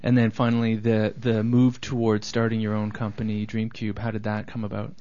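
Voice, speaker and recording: A man's voice: on the low side, completely flat delivery, brisk, mellow. A man hosting a radio interview, asking friendly, probing questions. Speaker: radio show host. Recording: dull lo-fi radio recording.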